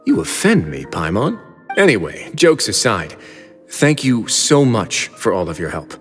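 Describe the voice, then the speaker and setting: An actor playing a composed prison-warden duke with a dry, teasing manner, in a video game dub. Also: male voice, fairly low pitch, huge pitch swings, measured pace, big-room sound, faint music behind. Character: calm male prison warden duke, wry and firm.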